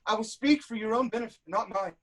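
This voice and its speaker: A woman's voice: a little high, quick pace, close to an everyday voice. A woman playing an anguished, self-loathing blinded king in a declamatory, lamenting style of Greek tragedy. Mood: pleading. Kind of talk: theatrical Greek tragedy acting.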